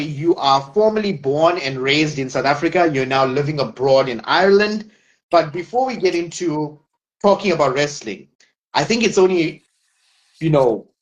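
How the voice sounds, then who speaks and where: A man hosting a casual interview show. His voice sits a little high, and he speaks at a measured pace as he introduces his guest. He sounds like he is on a video call. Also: rough recording. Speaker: host.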